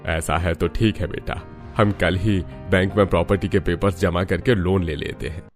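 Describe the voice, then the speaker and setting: A man playing a polite, dignified middle-aged family father in a cartoon drama, speaking in a measured way. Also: sits low, fairly even intonation, faint music behind, natural voice. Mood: agreeable.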